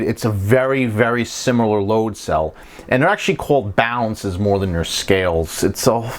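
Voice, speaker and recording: A man giving a casual, hands-on repair tutorial as a technician, talking in a plain-spoken, conversational and explanatory way. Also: lowish voice.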